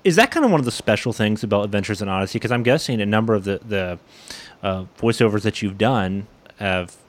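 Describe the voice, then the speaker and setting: A man's voice: on the low side, lively intonation, fast talker. A man from Canada hosting a casual interview, asking questions in a hesitant, roundabout way. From Canada, Ontario. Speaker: interviewer, host.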